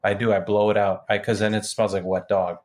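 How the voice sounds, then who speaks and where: A man with a fairly low voice talks as a guest on a podcast. He comes from New Jersey and grew up with Polish as his first language. His delivery is casual and conversational. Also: flat delivery, a little fast, darkish tone. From New Jersey, United States. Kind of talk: casual chat.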